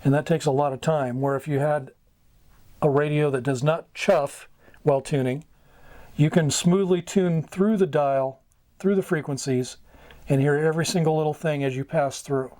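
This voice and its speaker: A middle-aged American man giving an informal instructional talk, going item by item in short, halting phrases. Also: fairly high pitch, steady tone, dark tone, no echo. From United States. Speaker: amateur radio mentor, video host. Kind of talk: informal instructional explanation at a whiteboard. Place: small wood-panelled room indoors.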